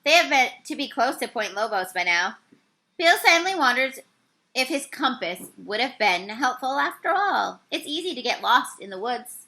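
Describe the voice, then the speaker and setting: A woman reading a children's story aloud, with expressive and carefully phrased narration. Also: on the high side, normal speed. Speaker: storybook reader.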